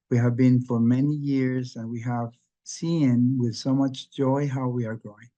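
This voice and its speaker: Man, Costa Rican Spanish accent, earnest, halting, mellow tone, dull lo-fi sound. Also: medium pitch. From Costa Rica. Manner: earnest, halting, with frequent restarts. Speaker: community member sharing. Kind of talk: personal sharing at an online gathering.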